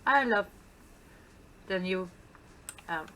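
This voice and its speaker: A woman's voice: medium pitch, expressive, very slow, bright, forceful tone, a little hiss or hum, poor audio. A woman with a Danish accent, a native Danish speaker, chatting casually and playfully as she shows off her build in gameplay commentary. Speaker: gaming channel host.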